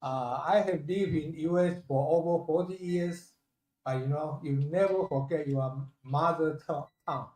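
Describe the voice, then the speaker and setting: Mellow older man with a Taiwanese accent, echoey. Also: fairly high pitch, a little slow. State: recovering from a lost voice. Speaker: professor, guest speaker. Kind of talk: valedictory thank-you speech. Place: indoor hall at a university ceremony. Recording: echoey room.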